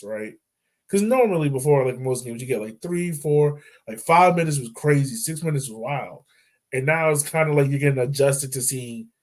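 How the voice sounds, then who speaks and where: A man hosting a casual football podcast, talking in a relaxed, chatty way and laughing easily. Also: medium pitch. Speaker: podcast host. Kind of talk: casual sports chat.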